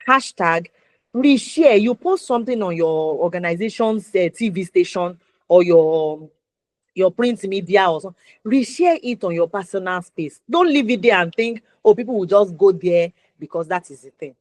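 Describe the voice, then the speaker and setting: A woman's voice: medium pitch, lively intonation, moderate pace, a little mellow, dry recording. A woman with a Nigerian accent hosting a conversational interview, talking at length and circling back to rephrase her points. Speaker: interview host.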